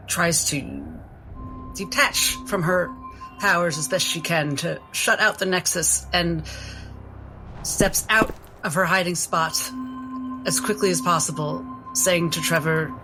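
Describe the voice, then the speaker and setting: Female player, deep voice, measured in-character narration over music. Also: poor audio. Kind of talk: describing her character's actions in a tabletop game. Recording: music bed behind the voice.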